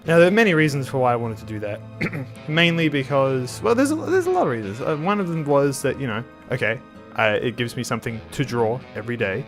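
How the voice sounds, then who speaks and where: A man's voice: mid-range voice, animated, brisk, few pauses, faint music behind. A man hosting his art channel in casual talk over a speed drawing, a bit nasal and under the weather, rambling with many restarts. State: slightly sick.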